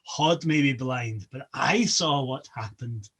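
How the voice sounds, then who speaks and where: A man's voice: mid-range voice, slow pace, fairly bright tone, slightly rough, heavily acted. A man voicing a scornful, taunting male trickster god at a feast, mocking each guest in turn.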